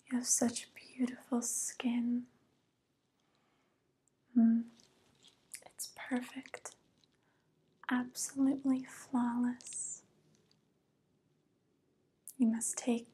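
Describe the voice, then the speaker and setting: A woman's voice: a little high, full character voice. Woman playing a whispering, coaxing evil witch. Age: young adult. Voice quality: breathy whisper. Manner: slow, gentle, flattering, falsely kind. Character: soft, coaxing evil witch luring a guest. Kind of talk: ASMR roleplay, soft spoken whispering. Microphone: close microphone.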